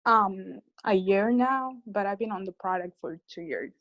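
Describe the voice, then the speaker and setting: A woman's voice: mid-range voice, unhurried, a bit bright, dry recording. A woman whose first language is French, a distributor on a video call, giving brief, hesitant answers. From Delaware, US. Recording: dull lo-fi call audio.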